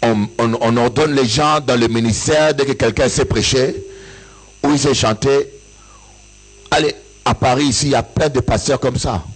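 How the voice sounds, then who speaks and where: A bishop from Africa giving an impassioned church sermon, his voice rising in sing-song peaks and breaking into shouts. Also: mid-range voice, normal speed, slightly rough, low-quality recording. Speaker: bishop and pastor. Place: church service with an audience.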